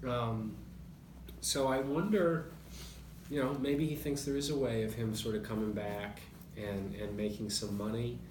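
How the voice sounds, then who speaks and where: A man's voice: mid-range voice, fairly even intonation, measured pace. A man speaking as a boxing journalist guest, musing casually through a sports discussion. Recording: roomy echo, clear background noise.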